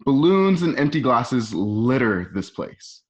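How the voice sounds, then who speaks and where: A man's voice: medium pitch, sing-song, takes it slowly, dull audio. A man narrating screenplay scene directions like a storyteller, recorded at home. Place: at home, remote ensemble session.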